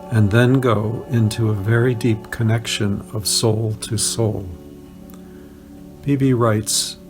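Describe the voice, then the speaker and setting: Male narrator for spoken memoir, average pitch, creaky texture, light music bed. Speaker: reader of written testimonials. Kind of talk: narrated first-person recollections, read aloud.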